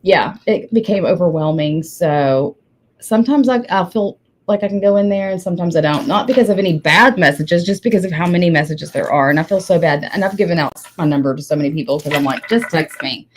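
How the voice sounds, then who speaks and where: A woman's voice: lowish voice, fairly quick, slight background noise. A woman in her forties chatting casually, blunt and candid with the odd swear. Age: 40s. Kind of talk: casual chat.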